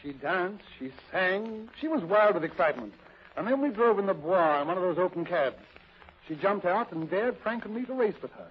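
An actor playing a genial, weary aging major in a 1930s radio drama, theatrical and effusive. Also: male voice, sits high, lively intonation, moderate pace. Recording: muffled, noisy vintage radio recording.

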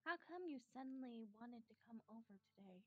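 Woman doing a quiet teen anime girl, high n slightly husky, forcing cheer. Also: animated, a little fast, dry recording, narrow, tinny audio. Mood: subdued. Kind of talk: staged reading of a visual novel.

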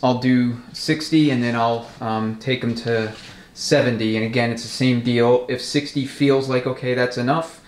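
Guy doing a casual how-to while working, practical, mid pitch, echoey shop w some noise. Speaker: transmission rebuilder giving a tutorial. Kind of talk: casual hands-on instructional commentary. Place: indoor repair workshop. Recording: echoey workshop, noticeable noise, tool clicks.

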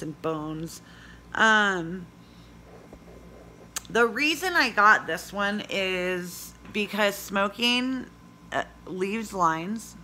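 A hoarse woman in her forties chatting casually in a home vlog, reading product labels aloud and rambling with fillers. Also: lowish voice, bright, forceful tone, slight background noise. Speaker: vlogger. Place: small indoor room at home. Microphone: phone camera at arm's length.

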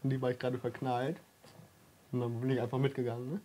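Guy playing a clueless childish slacker in his 30s, blunt questions, talks fast. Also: mid-range voice, little pitch movement, mellow, a little hiss or hum, close to an everyday voice. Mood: naive, blunt. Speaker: comedic interview guest. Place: small cluttered studio room, indoors.

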